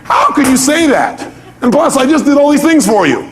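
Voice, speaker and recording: A man's voice: extremely high pitch, sing-song, normal speed, background noise. A man speaking as a motivational seminar leader, emphatic, putting rhetorical questions to his listeners, repeating key points and acting out reactions.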